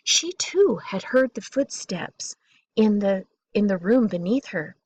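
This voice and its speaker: A woman reading a children's bedtime story aloud in an expressive, deliberate way, drawing out key words. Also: medium pitch, husky.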